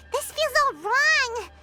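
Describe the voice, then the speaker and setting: A woman voicing a tiny, excitable, earnest fairy companion with a squeaky childlike voice in a video game. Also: full character voice. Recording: loud background music. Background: music.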